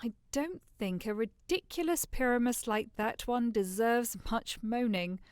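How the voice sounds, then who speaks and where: Woman acting sardonic noblewoman, highish voice, unimpressed delivery, dry sound, audio drama. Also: measured pace, clean tone, dry recording, natural voice. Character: skeptical noblewoman heckling a play. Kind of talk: audio drama Shakespeare in modern English.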